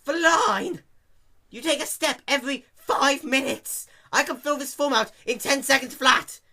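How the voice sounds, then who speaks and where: A man voicing a brash, impatient young female pegasus pony in an amateur story reading, loud and exasperated. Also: very high voice, measured pace, gravelly, pitched above the performer's own voice, heavily acted. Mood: irritated.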